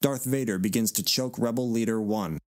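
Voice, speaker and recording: A man's voice: fairly low pitch, moderate pace, no room sound, clean studio sound. A man reading stage directions as scripted narration for a dramatic reading.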